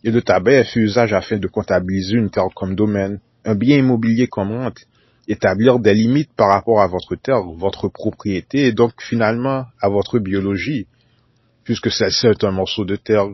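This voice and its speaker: A man's voice: fairly low pitch, normal speed, breathy edge, no room sound, lo-fi sound. A man delivering a formal solo monologue, repeating whole sentences and spelling out words letter by letter. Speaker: conspiracy talk narrator.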